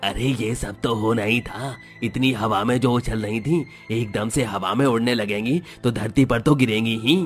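A man's voice: medium pitch, steady tone, normal speed, few pauses, faint music behind, natural voice. A man voicing a smug, scornful shopkeeper ringleader in a village cartoon story, speaking emphatically. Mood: gloating. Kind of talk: Hindi cartoon story acting.

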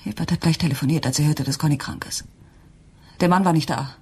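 An actress playing a distraught, accusing wife in a German radio crime drama, with a husky, breathy voice. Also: female voice, deep voice, fast talker, darkish tone, full character voice. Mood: suspicious, probing.

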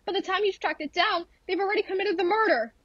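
A woman's voice: very high voice, fast talker, fairly bright tone, no echo, dull audio. A woman playing a fretful, imperious bedridden wife in an old-style radio thriller. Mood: insistent, demanding. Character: frantic, demanding bedridden wife, easily alarmed.

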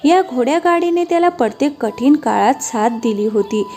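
A woman's voice: high-pitched, animated, moderate pace, mellow. A woman reading scripted narration for a cartoon moral story. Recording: music behind the voice.

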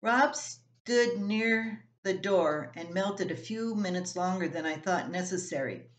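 An older woman in her seventies reads a family column aloud at a slow, unhurried pace. She is plain-spoken, and her voice carries the echo of a room at home, picked up from desk distance. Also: on the low side, animated. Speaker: columnist reading own work. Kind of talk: reading a personal story aloud. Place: small room at home, indoors.

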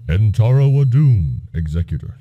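A man voicing a solemn, commanding alien warrior from a video game, with deep, echoing processed sound. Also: very animated, normal speed, narrow, tinny audio. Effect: bass-boosted pitch drop with subtle echo.

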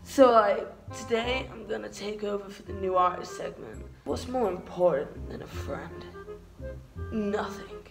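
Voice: mid-range voice, a little slow, vocal fry. A young adult performer playing a sappy, devoted songwriter in a comedy audio sketch, earnest and overwrought. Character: sappy, devoted songwriter besotted with zombie best friend. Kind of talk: comedy audio sketch, slow speech and crooning. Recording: echoey room, music over it.

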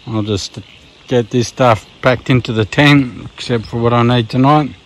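A man with an Australian accent casually walking through his hiking gear, relaxed and rambling with plenty of ums and restarts, filmed on a phone outdoors. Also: medium pitch. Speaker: vlogger. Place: outdoors at a campsite by a river.

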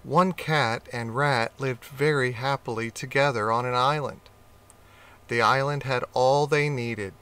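A man reading a children's animal story aloud in a slow, gentle, expressive way. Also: medium pitch, clean tone. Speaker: audiobook reader.

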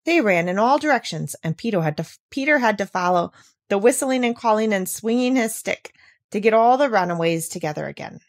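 A woman's voice: mid-range voice, dry recording, clean studio sound. Woman reading a storybook aloud. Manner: measured, expressive reading. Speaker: reader of a children's classic.

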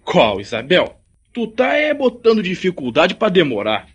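An actor playing an insistent, jealous young rural suitor in a radio drama, with a Brazilian accent. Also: male voice, sits high, huge pitch swings, normal speed, a bit bright, slightly rough, highs cut off, heavily acted. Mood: jealous, pleading.

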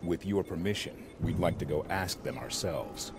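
Deep-voiced, commanding soldier. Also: male voice, normal speed. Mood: composed, firm. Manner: clipped, formal, commanding. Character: composed, commanding male armored soldier leading a squad. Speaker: game character, squad leader. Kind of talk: video game voice acting. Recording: loud battle and engine noise behind. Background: game ambience, vehicles, combat.

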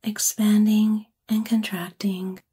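A woman with a soft, breathy, whispery voice gently and soothingly guides a sleep meditation in an ASMR-like style. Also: mid-range voice, flat delivery. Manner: gentle, soothing, unhurried phrasing.

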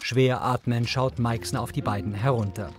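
A man reading scripted audio description of TV scenes in the present tense, measured and matter-of-fact. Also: medium pitch, fairly even intonation, music in the background.

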